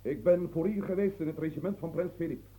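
An actor playing a formal police officer in a radio play, speaking in stiff official terms. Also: male voice, on the high side, a little fast, warm tone, lightly acted, rough recording.

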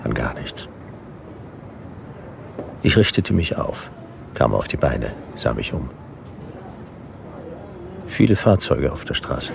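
An actor playing a laconic, world-weary, befuddled private detective, narrating a hard-boiled radio drama in clipped, deadpan sentences. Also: male voice, extremely low pitch, takes it slowly, creaky, pauses often, noisy background, exaggerated voice. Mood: dry, bemused. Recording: music behind the voice.

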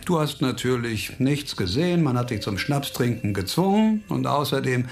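A man playing a stubborn, wry police investigator in a radio crime drama, speaking in a deliberate, insistent and sardonic manner. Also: medium pitch, big pitch swings, creaky, faint music behind. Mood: menacing, sardonic.

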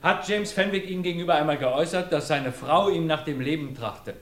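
An actor playing a brisk prosecutor questioning a witness in a radio play. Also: male voice, on the high side, a bit bright, lightly acted. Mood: probing. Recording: roomy studio.

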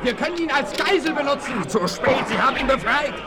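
A panicky outlaw, his voice pushed very high and swinging wildly. He curses and shouts in vintage radio-play style. Heavy noise and clamour surround him. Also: animated, brisk. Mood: frantic, angry. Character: panicky, cursing Western bandit henchman, adult male. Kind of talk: 1960s German audio drama acting. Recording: loud battle din, shouting crowd, old record.

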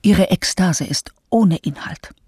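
A woman's voice: on the low side, slow pace, darkish tone, slightly rough, no room sound, studio quality. A woman reading scripted literary texts as co-narrator of a radio feature. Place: dry radio studio.

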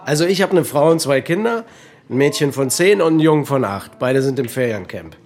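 An actor playing a restless, hard-drinking middle-aged husband in a radio drama, speaking haltingly and muttering between fillers. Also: male voice, mid-range voice, animated, a little mellow, natural voice. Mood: tipsy, talkative. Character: restless, hard-drinking American husband, unsteady and increasingly tipsy. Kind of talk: naturalistic radio drama acting.

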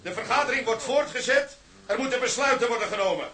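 A man playing an excitable union chairman who addresses a meeting of sailors in formal, declamatory speech in a Dutch period drama. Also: very high-pitched, quick pace, echoey room. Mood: formal, proclaiming.

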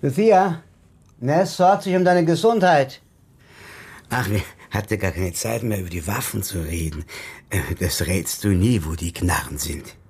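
An actor playing a well-informed political comrade of a detective in a radio crime drama, speaking in a wry, knowing manner. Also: male voice, lowish voice, lively intonation, a little slow, a little mellow, slightly rough, heavily acted. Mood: conspiratorial. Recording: studio radio drama with music underneath.

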